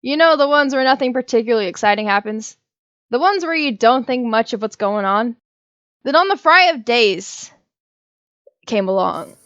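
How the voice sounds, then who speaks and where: A woman's voice: fairly high pitch, lively intonation, moderate pace, fairly bright tone, smooth, no echo, muffled recording. A woman talking casually, like someone chatting with friends during a game or story night. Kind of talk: casual chat among friends while gaming, reading her own story.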